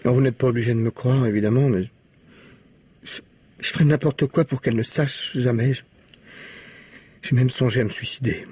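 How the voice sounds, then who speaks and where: A guilt-ridden husband from an old radio mystery, voiced by a man. He hesitates and stammers. His voice sounds husky. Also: medium pitch, fairly even intonation, a little fast, pauses often, dark tone, close to an everyday voice. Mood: guilty. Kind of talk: 1950s radio drama acting.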